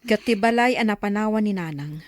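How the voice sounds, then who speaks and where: A woman's voice: mid-range voice, fairly quick, no echo, clean studio sound, lightly acted. A woman playing an unsentimental, matter-of-fact older sister in a Filipino drama, with a Filipino accent. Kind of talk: Filipino radio-style drama acting.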